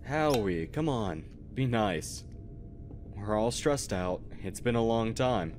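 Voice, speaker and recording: A man's voice: on the low side, expressive, slow pace, a little mellow. A man playing a jovial, reassuring astronaut, talking casually in an audio roleplay drama. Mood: encouraging. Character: upbeat, joking, reassuring male astronaut. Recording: faint noisy ambience.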